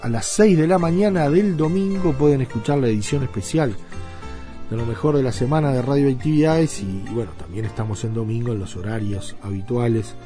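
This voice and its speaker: A man's voice: mid-range voice, mellow. A man with a Uruguayan accent hosting a radio show in a conversational, loosely phrased way, as in an introduction. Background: background music.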